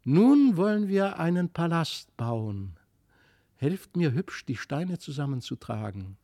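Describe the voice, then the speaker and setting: Guy as a kind fairy child, gentle n playful, mellow soft voice, bit high. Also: very animated, slow, dry recording, studio quality, heavily acted. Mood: playful, inviting. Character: sweet, kindly, playful magical child spirit.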